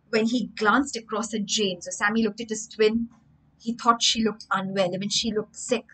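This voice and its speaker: A young woman with an Indian English accent, reading a story aloud to children and stopping to explain words, with lively, expressive delivery from a room lined with bookshelves. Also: medium pitch, unhurried, rough recording. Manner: expressive, animated hand gestures, explains words as she goes. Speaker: storytelling teacher.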